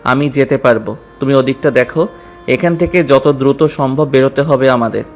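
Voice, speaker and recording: A man's voice: mid-range voice, moderate pace, faint music behind, close to an everyday voice. A man playing a wounded but commanding hero in an audio story, firm and insistent. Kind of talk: dramatized audio story acting.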